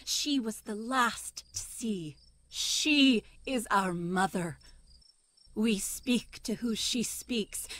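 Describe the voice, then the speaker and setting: A woman's voice: fairly high pitch, sharp tone, full character voice. A woman playing a solemn animal leader who addresses fellow creatures in a grave, incantatory horror monologue. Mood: ominous.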